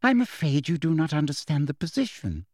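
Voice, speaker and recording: A man voicing a sweetly menacing middle-aged woman official who speaks softly with a shrill edge. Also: big pitch swings, a little fast, no echo, clean studio sound. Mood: icily polite. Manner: soft, deadly, with a shrill edge.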